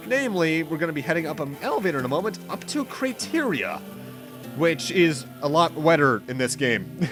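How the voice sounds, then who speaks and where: A man's voice: a little high, sing-song, brisk. A man giving casual, rambling let's play commentary in a chatty, mildly wry way. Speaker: gaming commentator, host. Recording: game music behind the voice. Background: video game music.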